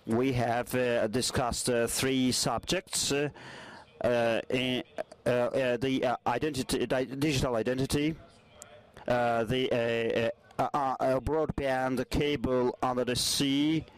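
A man giving a formal report at a conference, pausing often on filler sounds. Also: mid-range voice, steady tone. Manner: formal, hesitant, many fillers. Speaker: panelist. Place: large conference hall.

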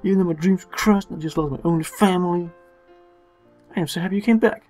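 A man's voice: sits high, fast talker, a little mellow, soft background music. A man voicing a brooding, earnest young man in a melodramatic game dub.

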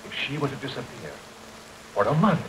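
Lovesick gentleman with highly animated pleading. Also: a little fast, slight background noise, poor audio. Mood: desperate. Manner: pleading, earnest, florid. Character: lovesick, desperate, scheming gentleman suitor. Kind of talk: 1930s melodramatic film acting. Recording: vintage 1932 film soundtrack under rain. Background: rain, storm, music.

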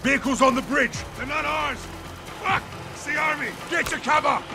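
An actor playing an urgent, commanding special forces soldier in a military shooter game, barking clipped orders. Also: male voice, very high voice, moderate pace, creaky. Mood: urgent shouting. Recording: loud action music and gunfire behind.

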